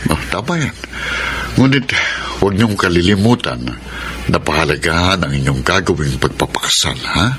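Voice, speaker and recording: A man's voice: deep voice, expressive, moderate pace, a bit bright. An actor playing a devout, patient middle-aged husband and father in a Filipino radio drama. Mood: fatherly, advising. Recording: noisy radio drama with background ambience.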